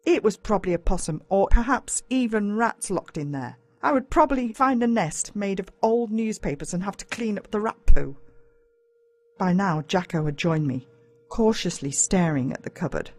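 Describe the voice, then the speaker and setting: A woman's voice: medium pitch, lively intonation, normal speed, darkish tone. A woman with an Australian accent narrating a children's story in a dramatic, suspenseful way. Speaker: audiobook narrator. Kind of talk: scripted first-person story narration for children.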